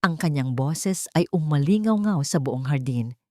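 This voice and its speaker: A woman's voice: sits low, expressive, normal speed, a little mellow, no room sound. Woman narrating drama, dry close sound. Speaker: story narrator. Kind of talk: dramatic scripted narration of a melodrama.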